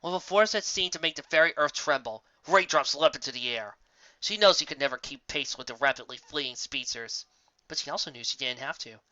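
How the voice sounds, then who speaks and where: A storyteller reads scripted fiction with theatrical expression. The voice is bright and mid-pitched. It sits in a very dry recording that sounds a little dull. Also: expressive, fairly quick, no echo. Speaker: audiobook reader. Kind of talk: scripted narration of fan fiction story, dramatic.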